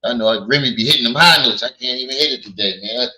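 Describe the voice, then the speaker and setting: A hoarse man hosting a casual livestream, blunt and quick to laugh while greeting his chat. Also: medium pitch, wildly swooping pitch, bright, forceful tone, low-quality recording. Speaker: livestream host.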